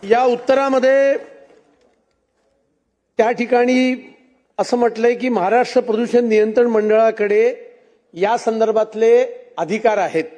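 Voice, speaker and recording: A man's voice: very high-pitched, fairly even intonation, a little slow, pauses often, a little mellow. A man speaking as a legislator in formal debate, insistent, posing rhetorical questions. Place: legislative assembly chamber.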